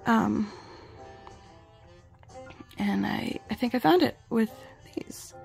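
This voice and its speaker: Gravelly-voiced woman with the flu. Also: on the high side, slow, darkish tone, soft background music, rough recording. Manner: chatty, rambling, self-deprecating. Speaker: vlogger reviewing glasses. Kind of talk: casual rambling review. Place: home, indoors and outdoors in yard.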